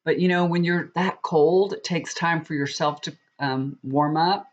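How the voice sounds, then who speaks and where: A woman's voice: sits low, a little slow. Middle-aged woman, sniffly with a cold, rambling casual vlog chat, dull lo-fi sound. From Texas. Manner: chatty, rambling, with many restarts. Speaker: tarot reader. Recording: dull lo-fi recording.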